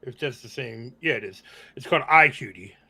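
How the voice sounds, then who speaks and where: A man's voice: medium pitch, expressive, a little fast, gravelly, bright, forceful tone, slightly rough. A man co-hosting a casual reaction show, chatting conversationally about music.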